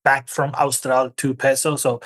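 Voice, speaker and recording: A man's voice: medium pitch, flat delivery, a little slow, a bit bright. A man in his forties, from Argentina and raised in Norway, speaking as an interview guest in a thoughtful, hesitant way with many restarts. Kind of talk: conversational interview.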